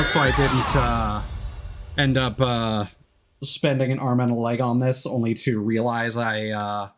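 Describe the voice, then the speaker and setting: A man's voice: medium pitch, fairly bright tone. A man giving laid-back, noncommittal commentary while livestreaming a video game. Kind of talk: casual gameplay commentary. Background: game music.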